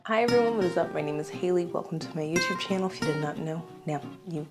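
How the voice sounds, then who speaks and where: A young woman from the United States chats to her camera in a home room, her voice sitting a little lower than most women's. She sounds slightly congested from a cold, and her casual storytelling is animated and full of laughs, picked up close on a lapel mic. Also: quick pace, over loud music. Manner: chatty, animated, laughs often, gestures with her hands. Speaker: YouTuber. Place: small home room indoors.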